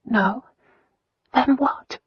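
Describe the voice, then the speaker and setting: Woman voicing a high-pitched, spirited young wife, husky. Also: very slow, no echo, dull audio, exaggerated voice. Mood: worried, hushed. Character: spirited, candid young wife telling childhood tales. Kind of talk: romance audiobook dialogue.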